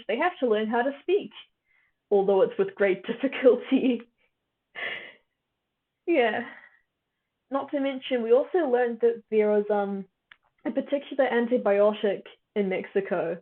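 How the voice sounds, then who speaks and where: A young woman from New Zealand chats to her stream audience, tired-voiced and loose, with plenty of fillers between thoughts. Her voice sits at an ordinary pitch for a woman. The sound is narrow and thin, like a phone line. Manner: chatty, laughs often, many fillers, answers chat as she goes. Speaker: livestream host. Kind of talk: casual chat with a live chat, summarizing a reading.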